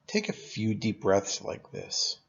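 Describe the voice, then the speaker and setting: Man, lowish pitch, husky tone, calm meditation teacher, unhurried instructions, long pauses, dull lo-fi sound. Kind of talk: guided meditation.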